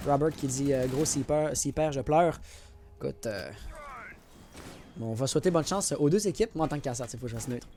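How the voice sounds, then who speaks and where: A man's voice: mid-range voice, fairly quick, warm tone. A man with a Quebec French accent doing live esports commentary, informal and colloquial, mixing in English gaming slang. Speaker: esports caster. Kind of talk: live match commentary with casual asides to chat. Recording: clear background noise with clicks and gunfire. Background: video game gunfire and clicks.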